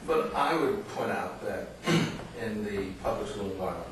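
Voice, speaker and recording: A man speaking reflectively in a group discussion, with halting, deliberate and rather formal phrasing. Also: lowish voice, lively intonation. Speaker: audience member at a book reading. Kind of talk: reflective discussion at a book talk. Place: indoor literary salon, far from mic. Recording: very echoey, distant, noisy room recording.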